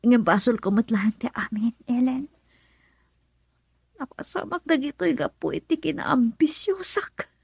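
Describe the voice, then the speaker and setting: A woman's voice: fairly high pitch, big pitch swings, unhurried, gravelly, breathy edge, dry recording, full character voice. A woman playing an earnest, wounded young woman full of remorse, in radio drama style with a Filipino accent. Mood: anguished.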